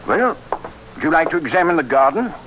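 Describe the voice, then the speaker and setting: An actor playing an affable, fussy English gentleman, hesitant and polite, in an old radio drama. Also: on the high side, big pitch swings, a little fast, rough recording. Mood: affable, flustered. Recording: vintage radio recording, faint noise.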